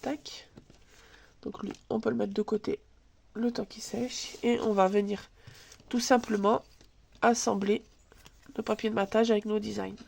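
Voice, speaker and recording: A woman's voice: medium pitch, little pitch movement, a little slow, creaky, long pauses, darkish tone. A woman with a stuffy nose from a cold, hosting a casual crafts tutorial from her home table and thinking aloud as she works. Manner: chatty, thinks aloud while working, filler words. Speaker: crafts channel host. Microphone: camera filming from above.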